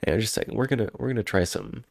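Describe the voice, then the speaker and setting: A man's voice: lowish voice, rapid-fire, vocal fry, no room sound, studio quality. A man with a head cold, sounding congested, chatting casually and rambling on a live stream. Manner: rambling, self-interrupting, chuckling.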